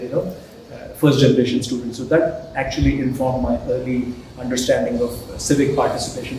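Indian guy lecturing at a podium, lots of uhs, dark flat voice, big echoey hall w/ faint hiss. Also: medium pitch. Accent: Indian English. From India (Hyderabad). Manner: halting, many "uh" fillers and restarts. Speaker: guest lecturer, professor. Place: large hall with a banner backdrop. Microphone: podium mic, filmed from a distance.

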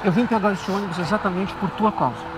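A middle-aged man talks slowly and earnestly as a TV host, building up to a surprise. He is outdoors at a street bus stop, and music plays under his voice. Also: high voice, poor audio. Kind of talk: emotional reveal speech. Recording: TV segment with music behind.

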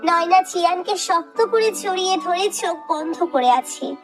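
Woman reading a love story out loud, really high pitched, expressive. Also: unhurried, music in the background. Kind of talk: romantic story narration.

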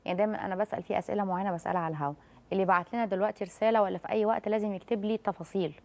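A woman's voice: medium pitch, steady tone, a little mellow. A woman in her thirties with an Egyptian accent, giving medical advice as a guest on a TV talk show, reassuring and affectionate with callers. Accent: Egyptian Arabic. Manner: fast, reassuring, calls callers dear. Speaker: doctor guest. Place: TV studio.

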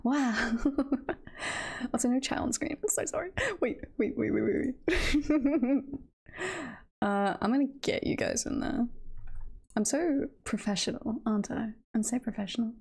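A woman's voice: fairly high pitch, measured pace, creaky, husky. Giggly, rambling female live streamer. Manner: giggly, nervous and excited, rambling. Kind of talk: casual live stream chat.

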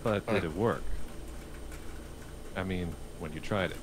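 Low-voiced, businesslike police detective read by a man. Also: a little slow, background noise. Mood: questioning. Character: businesslike male police detective, questioning and terse. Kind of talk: table read of a film script.

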